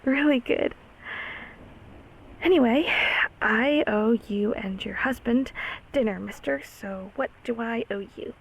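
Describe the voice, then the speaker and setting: A woman voicing a gentle, affectionate and slightly awkward childhood closet monster in a comforting audio roleplay, with breathy, husky, hesitant speech. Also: a little high, heavily acted, rough recording. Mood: flustered, relieved. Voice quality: breathy, husky, often near a whisper. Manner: slow, hesitant, tender.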